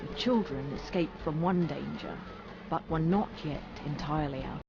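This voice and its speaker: A man narrating a fantasy adventure game as a solemn, measured storyteller. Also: a little high, background noise. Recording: dull sound under clear music. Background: music.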